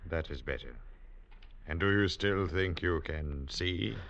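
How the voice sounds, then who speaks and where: An actor playing a grave, stern village elder, speaking with solemn authority in an old radio drama. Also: male voice, sits low, a little slow, fairly bright tone, slight background noise. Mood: solemn, judging. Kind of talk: 1940s-50s radio drama acting. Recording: dull old radio recording.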